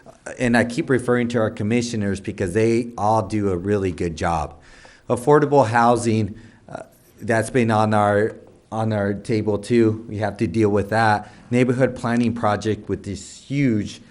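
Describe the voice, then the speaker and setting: A man's voice: on the low side, normal speed, darkish tone. A man chairing a city council meeting, talking his way through a long list with many restarts and asides. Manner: rambling, many restarts, lists items. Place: council chamber.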